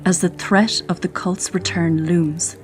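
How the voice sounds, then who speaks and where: A woman narrating a scripted fantasy audio drama as a solemn, measured storyteller. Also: fairly low pitch, fairly even intonation. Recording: loud music under the voice. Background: loud music.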